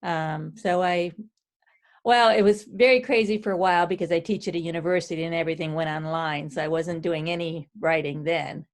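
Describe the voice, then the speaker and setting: A woman's voice: lowish voice, steady tone, a little fast. A woman who teaches at a university, talking in a chatty way on a group video call. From central Pennsylvania.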